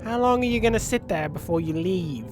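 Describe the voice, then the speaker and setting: A man's voice: sits high, expressive, fairly quick, a little mellow. A man with a sniffly, runny nose, giving joking, rambling commentary as a let's play host and talking back to the game's characters. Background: game music and spaceship sounds.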